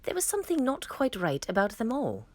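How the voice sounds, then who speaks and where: A woman reading a fairy tale aloud as a storytime reader, in an expressive, dramatic manner. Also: a little high, a little fast, dry recording. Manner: dramatic storytelling with emphatic swells.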